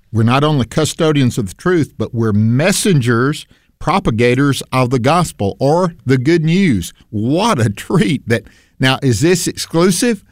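A preacher co-hosting a faith talk show, loud and passionate, leaning into his words the way he would from a pulpit. His voice sits at a middle pitch. The sound is close and dry, with no echo. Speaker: preacher and radio co-host. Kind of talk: conversational faith discussion.

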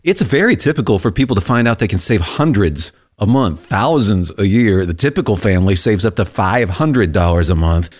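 A lower-pitched man reading a radio ad, persuasive and steady. He leans hard on the call-in number and repeats it. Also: a little fast, few pauses. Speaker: ad announcer. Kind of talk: read radio advertisement.

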